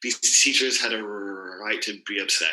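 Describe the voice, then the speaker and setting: A man's voice: lowish voice, normal speed, sharp tone, reverberant, rough recording. A man co-hosting a podcast, chatting casually with many fillers and restarts. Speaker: podcast co-host, teacher. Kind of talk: casual chat.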